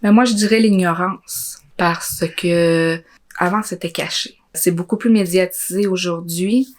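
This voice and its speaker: A woman's voice: on the low side, steady tone, a little slow. A young woman from Quebec's Côte-Nord with a Quebec French accent, giving personal testimony in an informal, hesitant way. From Côte-Nord, Quebec, Canada. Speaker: interviewee, social work student.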